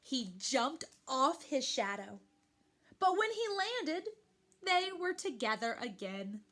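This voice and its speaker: A woman's voice: high-pitched, slight background noise. A woman reading a picture book aloud to young children, expressively and with questions to the listeners. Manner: animated, slow and deliberate, addressing young children.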